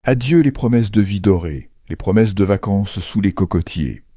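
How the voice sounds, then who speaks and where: A man's voice: lowish voice, animated, moderate pace, dark tone, breathy edge. A man narrating a children's fairy tale from a script, as a storyteller.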